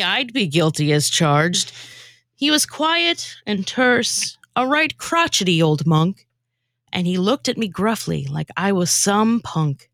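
A woman with a theatrical, animated delivery, moving between comic storytelling and casual podcast chat. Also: medium pitch, dry recording, studio quality. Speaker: podcast former co-host and guest performer.

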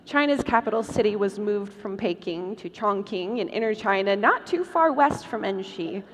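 A woman's voice: mid-range voice, animated, normal speed. A woman host reading scripted narration as part of a live comedic stage show. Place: large convention hall, live stage. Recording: noisy hall with long reverb.

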